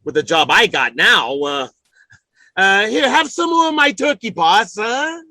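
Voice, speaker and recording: An actor playing an excitable, easily indignant working-class family man in a radio comedy, stammering in folksy, ungrammatical speech. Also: high voice, a little slow, no room sound, exaggerated voice. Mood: eager to please. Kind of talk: old-time radio comedy acting.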